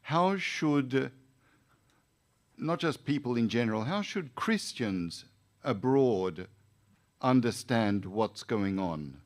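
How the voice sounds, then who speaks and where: A man moderating an event unscripted, speaking in measured, halting phrases with an encouraging manner. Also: medium pitch, expressive. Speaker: moderator, priest.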